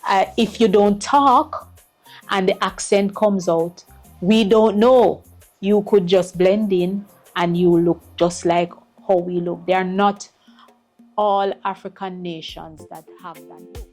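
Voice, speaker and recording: A woman's voice: medium pitch, fairly even intonation, takes it slowly, a little mellow, soft background music. A middle-aged woman, a school principal giving earnest interview answers and repeating words as she finds them. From Jamaica.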